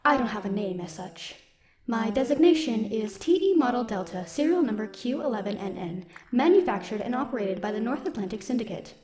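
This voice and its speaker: Girl doing a stoic lady robot soldier, kinda high voice, formal and measured, looking after one person, audio roleplay. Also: expressive, close to an everyday voice. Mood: composed, caring. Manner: formal, measured, literal wording. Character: stoic, dutiful female military robot, gently protective. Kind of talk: scripted audio roleplay, speaking softly to one listener.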